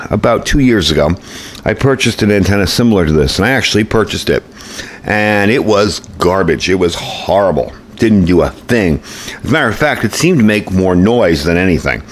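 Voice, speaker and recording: A man's voice: on the low side, expressive, moderate pace, a little hiss or hum. A middle-aged American man giving a casual, informal product review, explaining things as he goes. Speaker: gear review host. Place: indoor workbench, later outdoors by the house.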